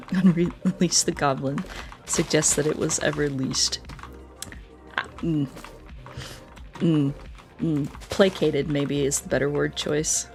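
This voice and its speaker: Woman game streamer, kinda low voice, goofy n scatterbrained chatting to chat, bit of music in the back. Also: steady tone, takes it slowly, long pauses, a little mellow. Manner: playful, scatterbrained, repeats words and sing-songs nonsense. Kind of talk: casual live game commentary, chatting with viewers.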